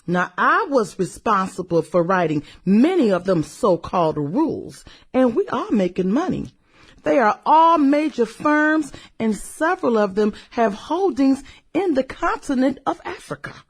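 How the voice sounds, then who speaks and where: A woman acting a brash, fun-loving businesswoman in a satirical radio play. Her pitch is fairly high and swings widely, while her pace is slow and drawn out. She is sassy, slangy and flirtatious. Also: sing-song, slightly rough, full character voice. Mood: defiant, brash.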